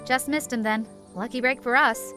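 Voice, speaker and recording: A fairly high-voiced, terse female scout, played by an actress in an audio drama. She sounds observant and matter-of-fact, with clear music behind her. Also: fairly even intonation, normal speed, pushed and bright. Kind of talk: fan audio drama acting.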